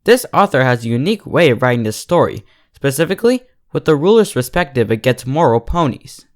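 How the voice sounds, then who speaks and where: A man hosts a story review with a very lively, swinging pitch. His voice sits in an average male range. He delivers a scripted critique addressed directly to online viewers. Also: brisk. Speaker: host and reviewer of fan fiction readings.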